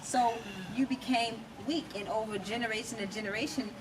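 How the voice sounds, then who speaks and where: A woman's voice: fairly high pitch, fairly even intonation, fairly quick. A middle-aged American woman answering as an interview guest, explaining her views conversationally and emphatically, checking that she is understood. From United States. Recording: distant mic, loud ambient noise.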